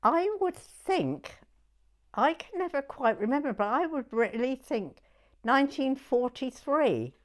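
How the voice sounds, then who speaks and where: A woman in her nineties with a southern English accent, reminiscing in an interview in a halting way, pausing to recollect. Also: sits high, very animated. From southern England. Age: 90s. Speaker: oral history interviewee.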